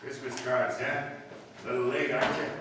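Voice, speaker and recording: An actor playing a chatty, homespun married police detective in a radio drama, speaking in an earnest, folksy way. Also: male voice, medium pitch, level tone, a little fast, close to an everyday voice. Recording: distant, echoey hall with long reverb, hiss.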